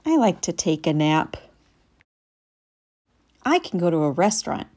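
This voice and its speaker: Storybook puppy hero-in-training read by a woman: eager, earnest, childlike, unhurried. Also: fairly low pitch, sing-song, a little mellow. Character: eager young male puppy training as service dog.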